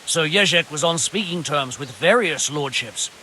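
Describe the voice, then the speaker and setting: Scared medieval bandit lackey from a game, highish sharp voice. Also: male voice, animated, normal speed, a little hiss or hum, rough recording. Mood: defensive. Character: captured male brigand's henchman, fearful, fatalistic commoner. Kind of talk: video game cutscene acting.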